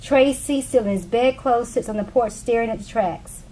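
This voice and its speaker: A woman's voice: a little high, normal speed, warm tone, rough recording. A woman reading stage directions as the narrator of a scripted play. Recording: faint background noise.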